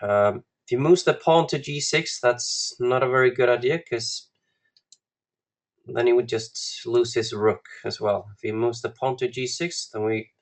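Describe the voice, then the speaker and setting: Slow, halting Icelandic man coaching. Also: medium pitch. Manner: halting, deliberate, with long pauses. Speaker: guest chess coach. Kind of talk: live online lesson, talking through puzzles to students.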